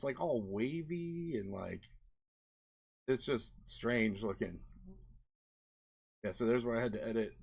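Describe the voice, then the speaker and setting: A man giving an unscripted, casual walkthrough as a presenter, rambling conversationally and often checking in with the listener. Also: medium pitch. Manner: rambling, conversational, often seeks agreement with "right?" and "you know". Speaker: indie game developer, presenter.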